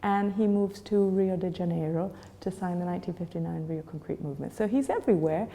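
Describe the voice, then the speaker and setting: A Chilean-born woman in her forties speaks in a low, very mellow voice. She answers thoughtfully, restarting phrases often, and her words carry a long reverb from the room. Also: a little fast. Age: 40s. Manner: thoughtful, with frequent fillers and restarts. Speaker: gallery owner. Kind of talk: conversational interview answers.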